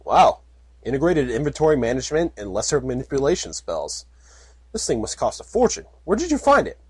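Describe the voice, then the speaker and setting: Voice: medium pitch, very animated, fairly quick, husky, dry recording. A reader doing a dry, skeptical unicorn stallion. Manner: dry, skeptical, matter-of-fact. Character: big skeptical male unicorn guard.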